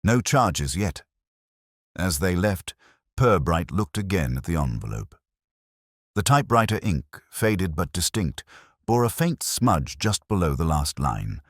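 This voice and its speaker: A man narrating a mystery story in a measured, storytelling manner. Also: low-pitched, big pitch swings, dry recording, clean studio sound.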